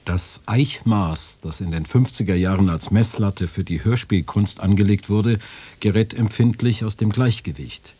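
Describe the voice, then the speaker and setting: A man's voice: lowish voice, normal speed, warm tone, husky. A man reading quotations in a scripted radio essay. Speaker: reader of quotations.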